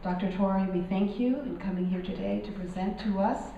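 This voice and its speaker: A woman reads a formal introduction from paper in a soft, mellow voice. She is picked up by a far camera, so she sounds very echoey, distant and dull. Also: mid-range voice, moderate pace, creaky, a little hiss or hum. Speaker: event host. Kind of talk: reading a speaker introduction. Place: small gallery room.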